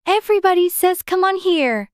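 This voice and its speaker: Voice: very high-pitched, animated, moderate pace, no echo, studio quality, pitched above the performer's own voice. A narrator voicing a polite, curious young girl with a prim, wondering manner, as a storybook character. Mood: indignant musing.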